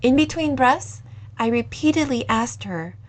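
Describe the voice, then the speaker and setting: A woman reading a short story aloud in a measured, gentle storytelling manner. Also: sits high, animated. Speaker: reader. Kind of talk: scripted narration of a short story.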